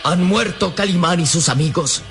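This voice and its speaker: High-pitched, theatrical male radio narrator. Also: moderate pace, vocal fry, fairly bright tone, faint music behind. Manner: theatrical, solemn. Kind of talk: dramatic scripted radio narration.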